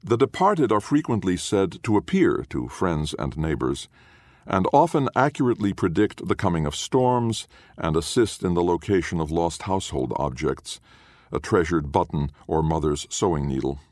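A man reading literary prose as an audiobook narrator, in a measured, formal and deliberate manner. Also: low-pitched, very animated, darkish tone, dry recording, clean studio sound.

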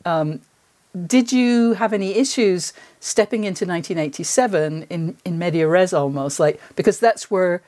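Middle-aged woman interviewer, lowish voice. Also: normal speed, darkish tone. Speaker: podcast host, interviewer. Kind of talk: conversational interview.

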